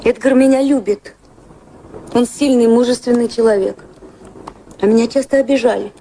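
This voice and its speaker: An actress performs a well-bred, guilt-ridden woman in a radio play. Her voice is mellow and somewhat high, her manner earnest and confiding. Faint ambience sits behind her. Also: steady tone, moderate pace, a little hiss or hum, close to an everyday voice, poor audio. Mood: anxious, earnest. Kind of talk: Russian radio drama acting.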